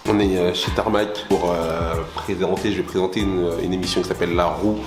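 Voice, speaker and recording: A man's voice: low voice, fairly even intonation, fairly quick, reverberant, over music. A man chatting in a joking, slangy, hyped way while vlogging on the move through a station, streets and a studio. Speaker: streamer and vlogger. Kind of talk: casual vlog chat.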